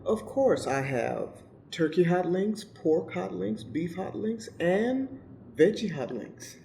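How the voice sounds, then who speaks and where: A man's voice: high voice, lively intonation, slow pace, gravelly, mellow, heavily acted. A man playing an easygoing, picnic-loving monster in an improvised comedic radio play, talking in a laid-back, matter-of-fact way. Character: easygoing, ugly monster Guri who loves picnics and grilling. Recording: roomy echo with faint background noise.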